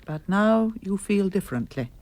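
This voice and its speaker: Actress as a brisk, animated English lady. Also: medium pitch, lightly acted. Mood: probing. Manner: brisk, bossy, teasing, inquisitive. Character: brisk, nosy, outspoken middle-aged English lady. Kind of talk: radio drama acting.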